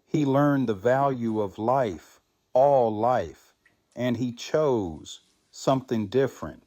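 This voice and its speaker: Actor as measured, earnest man of firm conviction. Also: mid-range voice, dark tone, no room sound, clean studio sound, close to an everyday voice. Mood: earnest, resolute. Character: calm, firm man of steady pacifist convictions. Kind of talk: audio drama dialogue.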